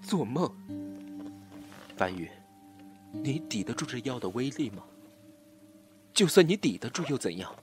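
An actor playing an obsessive, scheming jilted lover in a Chinese audio drama, swinging from soft pleading to taunting menace. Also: male voice, mid-range voice, big pitch swings, measured pace, pauses often, faint music behind. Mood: menacing, taunting.

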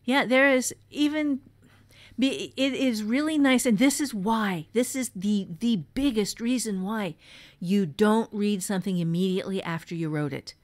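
A woman's voice: mid-range voice, normal speed. A woman co-hosting a podcast, giving blunt, emphatic writing advice in a conversational way. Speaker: writing teacher and podcast co-host.

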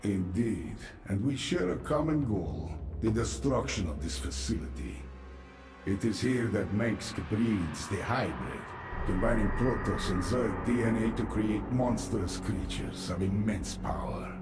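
An actor playing a grim, vengeful infested military man in a video game, gravelly and commanding. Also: on the low side, expressive, a little slow, echoey room, music in the background. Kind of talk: video game cutscene and mission acting.

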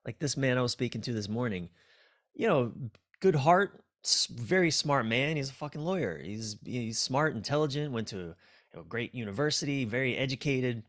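A man's voice: mid-range voice, animated, moderate pace, a bit bright. An American man in his forties speaking frankly and casually as an interview guest, reflective and prone to swearing. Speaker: relationship coach, podcast guest. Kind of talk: casual, candid interview talk. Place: small dim indoor room, video call.

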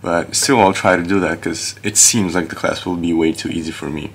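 Deep-voiced young man vlogging. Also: fairly even intonation, a little fast, creaky, breathy edge. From Brazil. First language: Portuguese. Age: young adult. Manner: upbeat, chatty, lots of uh fillers. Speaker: college student vlogger. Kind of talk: casual vlog narration. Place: dorm room, indoors. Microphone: camera at arm's length.

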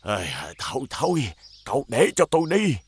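A man voicing an elderly, fussy village gardener in fear of his master, in an animated fairy tale. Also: medium pitch, moderate pace, a little hiss or hum, natural voice. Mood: anxious, flustered. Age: older. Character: elderly village gardener, anxious servant of a tyrant.

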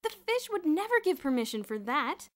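Woman as an anxious, high-pitched schoolboy sidekick. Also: expressive, moderate pace, a little mellow, natural voice. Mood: exasperated.

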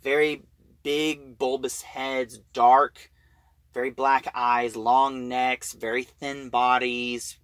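A man giving an informal story recap as a reviewer, talking in a halting, conversational way. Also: medium pitch, flat delivery, a bit bright, clear, resonant tone, dry recording. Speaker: audio drama reviewer.

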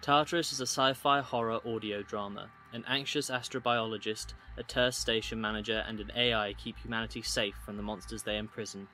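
A man's voice: on the low side, steady tone, a little fast, hardly any pauses, sharp tone, a little hiss or hum. A man reading scripted trailer narration as the announcer for an audio drama.

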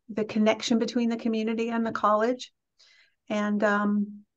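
Middle-aged woman from England, guest speaker on a call: friendly, chatty, filler-heavy, muffled lo-fi sound. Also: medium pitch. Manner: friendly, chatty, many ums and restarts. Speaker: college president, guest speaker. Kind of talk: casual talk on a video call. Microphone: video call. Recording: dull lo-fi video call audio.

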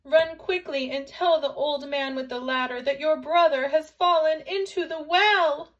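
A dignified, doting mother from a children's storybook, acted by a woman reader. Her voice is high and anxious, with formal, drawn-out words and loud urgency. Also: lo-fi sound. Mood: alarmed.

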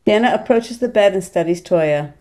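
A woman's voice: medium pitch, animated, moderate pace, darkish tone. A woman reading stage directions as scripted narration in a table read.

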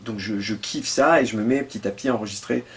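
A man's voice: fairly low pitch, quick pace. A middle-aged French man, a guitarist, answering interview questions casually, rambling with many fillers and trailing asides in a home music studio. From France.

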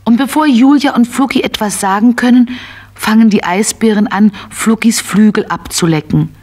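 A woman narrating a scripted children's tale in an expressive, simple storytelling manner. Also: a little high, moderate pace, lightly acted.

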